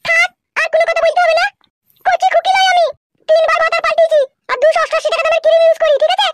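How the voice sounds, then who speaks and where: A voice actor playing a scorned, sharp-tongued village mistress who scolds and threatens in a comedy cartoon, through a pitched-up effect. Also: little pitch movement, rapid-fire, heavy background noise. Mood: indignant ranting. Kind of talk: amateur Bengali comedy cartoon dialogue.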